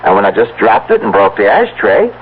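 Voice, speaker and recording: Through a narrow, faintly noisy vintage radio sound comes a stubborn farmer husband. His lowish voice swings wildly in pitch. He speaks plainly and insistently, pressing his point with excitable conviction. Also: a little fast. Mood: excited, urgent. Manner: urgent, insistent, plain folksy speech. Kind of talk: 1970s radio drama acting. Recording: tinny, band-limited old radio broadcast, noisy.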